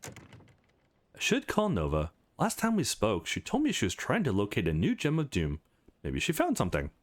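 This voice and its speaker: A man's voice: lowish voice, huge pitch swings, normal speed. A man hosting a visual novel playthrough, reading the story aloud and adding casual, chatty commentary, with music behind him. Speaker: gaming channel host.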